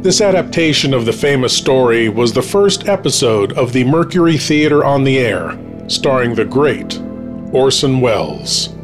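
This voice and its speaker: Male spooky-countdown presenter, mid register, deliberate and dramatic, over a music bed. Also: medium pitch, very animated. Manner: measured, theatrical, dramatic pauses. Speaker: horror host presenting a countdown. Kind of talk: scripted spooky countdown narration.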